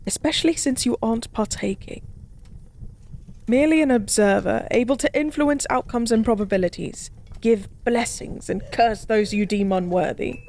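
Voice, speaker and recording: A woman voicing a lonely, drunk fallen goddess in a soft-spoken audio roleplay, musing and rambling with weary melancholy. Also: fairly high pitch, normal speed, a little hiss or hum, close to an everyday voice. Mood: brooding, musing.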